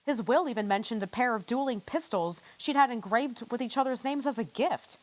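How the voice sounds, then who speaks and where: A sharp, brash newspaperwoman from an old radio play, played by an actress. Her voice sits high and leaps around in pitch. She talks in snappy slang with a sardonic edge. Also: lively intonation, a little fast, close to an everyday voice. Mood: brisk, gossipy. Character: brash, wisecracking woman newspaper reporter, 1940s style.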